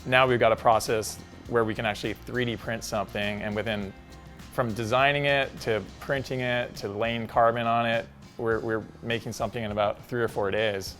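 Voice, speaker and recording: Fast-talking, eager middle-aged man. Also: medium pitch, fairly even intonation, fairly bright tone, faint music behind. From Hawaii. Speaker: company co-founder. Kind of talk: casual promotional chat.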